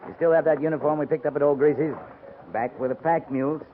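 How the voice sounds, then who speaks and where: Male actor as gruff cavalry captain, clipped military orders, stern but fair, 1950s radio drama, tinny sound with faint noise. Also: on the high side, steady tone, fast talker, close to an everyday voice. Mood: dry, stern. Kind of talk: 1950s radio western acting.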